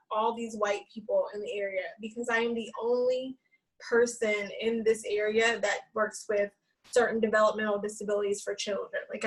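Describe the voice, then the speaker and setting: Higher-voiced woman, a bit off-mic. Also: a bit bright, far from the mic, rough recording. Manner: candid, heartfelt, repeats herself for emphasis. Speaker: therapist and yoga teacher. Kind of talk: panel discussion.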